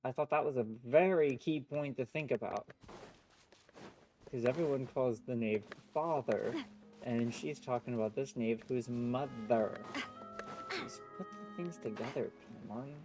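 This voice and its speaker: A male game streamer talking casually and reacting live to a story. His voice is mid-pitched, with music clearly playing behind him. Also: a little slow, rough recording.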